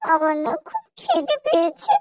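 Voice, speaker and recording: Tiny squeaky, plaintive cartoon child. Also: huge pitch swings, normal speed, dry recording, heavily acted. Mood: sad, plaintive. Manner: plaintive, pleading. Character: hungry, timid, mistreated little orphan child.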